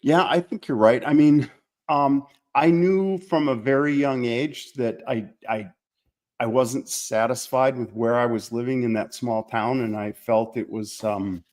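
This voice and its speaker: An American man speaking as an author being interviewed, in a voice of ordinary male pitch. He recalls personal history conversationally, reflective and halting as he searches for the right phrase. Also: darkish tone. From small-town Minnesota, United States.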